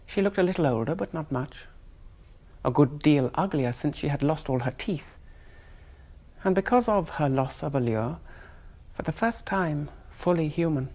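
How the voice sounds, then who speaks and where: Earnest young English hero, softly reflective. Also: male voice, on the high side. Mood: sober, reflective. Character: earnest young British soldier hero on a cycling tour. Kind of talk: 1970s radio drama monologue and dialogue.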